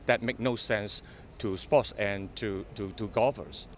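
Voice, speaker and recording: A man's voice: on the low side, steady tone, measured pace, slight background noise. A man speaking to the press as a spokesman, hesitant and repeating words. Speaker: golfers' group spokesman.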